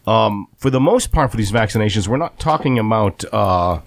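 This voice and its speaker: Hesitant, lowish-voiced man on radio. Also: lively intonation, normal speed. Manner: hesitant, roundabout phrasing. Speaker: radio co-host. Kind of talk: casual radio discussion.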